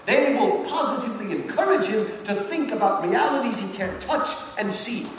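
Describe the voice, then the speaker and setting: A man's voice: sits high, fairly bright tone, full character voice. A man playing a scheming senior devil, condescending and emphatic, in a dramatic reading of satirical letters. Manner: theatrical, condescending, emphatic mentoring. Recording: echoey hall, distant mic, faint noise.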